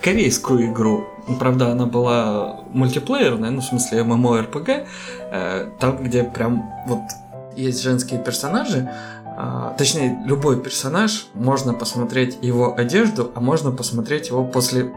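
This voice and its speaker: A man's voice: mid-range voice, lively intonation, moderate pace, music in the background. A man on a casual game podcast, chiming in with hesitant remarks. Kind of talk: casual chat about games.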